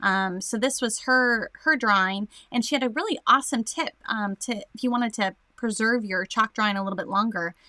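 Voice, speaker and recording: A bubbly woman co-hosts a casual local morning show, with a high-pitched, bright, forward voice. She chats loosely with many fillers, recorded dry and close. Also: a little fast, hardly any pauses, no room sound.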